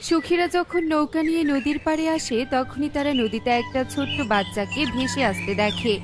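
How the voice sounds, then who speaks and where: A woman's voice: sits high, a little fast, loud music behind the voice. A woman reading scripted narration for a children's cartoon story.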